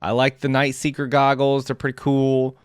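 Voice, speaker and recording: A man's voice: medium pitch, monotone, moderate pace, clean tone, dry recording, studio quality. A man hosting a reaction video, giving casual, slangy gaming commentary as he thinks aloud.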